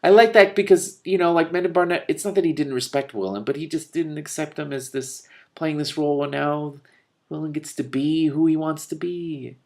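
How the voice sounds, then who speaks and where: A man reading a novel aloud expressively, with casual, animated commentary and salty asides. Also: on the high side, moderate pace, vocal fry. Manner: animated, informal, swearing in asides. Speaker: reader and commentator of a fantasy novel.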